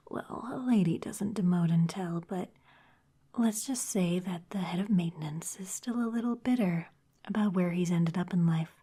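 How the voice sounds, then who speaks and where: A woman's voice: lowish voice, a little slow, dark tone, slightly rough. A woman voicing a poised female vampire office executive in an ASMR roleplay, mostly whispering, teasing with veiled threats. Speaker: ASMR performer.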